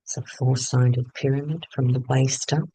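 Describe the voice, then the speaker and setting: A man leading a guided meditation in short phrases with long pauses between them. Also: mid-range voice, level tone, warm tone, no room sound, poor audio.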